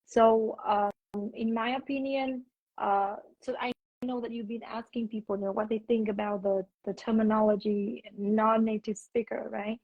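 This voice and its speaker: A woman's voice: on the high side, little pitch movement, moderate pace, no echo. A young woman with a Vietnamese accent, a teacher explaining her views thoughtfully in a casual video call, restarting words as she goes. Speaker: English teacher, guest. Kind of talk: casual conversation. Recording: dull lo-fi video call audio.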